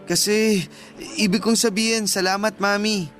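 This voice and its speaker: A man's voice: high-pitched, fairly even intonation, normal speed, a bit bright, faint music behind. A man acting a polite, dutiful young adult son in a radio drama. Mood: respectful.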